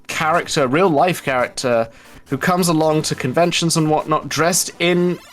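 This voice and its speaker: A man's voice: fairly high pitch, a bit bright, poor audio. A man commentating a live gaming race, explaining things hesitantly with dry, understated humour.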